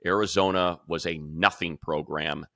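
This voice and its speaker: A man with a very low but bright, forceful voice talks sports analysis as a show host. He sounds emphatic and conversational, working through his reasoning aloud. The sound is close and dry. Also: steady tone, moderate pace, no room sound.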